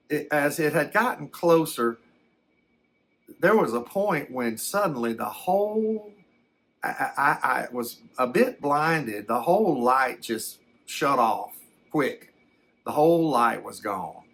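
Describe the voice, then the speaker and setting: A man voicing a folksy storyteller who recounts a frightening encounter in a halting, rambling ASMR style, often stammering and backtracking. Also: mid-range voice, fairly bright tone. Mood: earnest, unsettled. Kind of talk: first-person ASMR storytelling.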